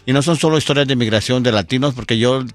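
Man, unhurried guest storyteller. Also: medium pitch, dry recording. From Guatemala. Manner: unhurried, many fillers like "este". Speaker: storyteller and event producer, guest. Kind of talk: radio interview. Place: radio studio.

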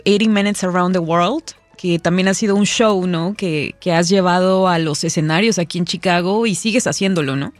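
Radio host, female, mid pitch, dry studio mic, eager conversational interviewer, faint music bed. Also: no room sound. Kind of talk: live public radio interview. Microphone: studio microphone.

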